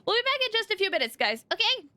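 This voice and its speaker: A woman's voice: very high-pitched, sing-song, fast, sharp tone, slight background noise. A woman streaming a game, chatting casually and playfully with her viewers as she plays. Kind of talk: casual livestream game commentary.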